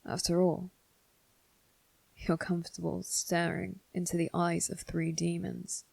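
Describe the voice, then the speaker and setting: Woman voicing a husky, creaky demon servant. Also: lowish voice, monotone, unhurried, darkish tone, no room sound, heavily acted. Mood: teasing, probing. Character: teasing demon servant triplets, sly and menacing. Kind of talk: ASMR roleplay acting.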